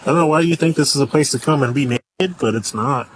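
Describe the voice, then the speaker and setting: A man's voice: a little high, quick pace. A man speaking as a police officer, blunt, firm and informal, questioning and giving procedural instructions. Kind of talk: blunt questioning and procedural talk during an arrest. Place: outdoors in a park. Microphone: body-worn camera. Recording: noisy outdoor bodycam audio.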